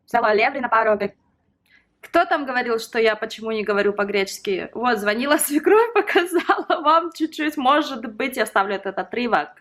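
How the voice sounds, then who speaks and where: Chatty lady vlogging at home, bit of a high voice, talks on and on, laughs a lot. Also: lively intonation, brisk, a bit bright. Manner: chatty and rambling, with frequent laughs. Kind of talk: casual home vlog chat. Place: at home, indoors.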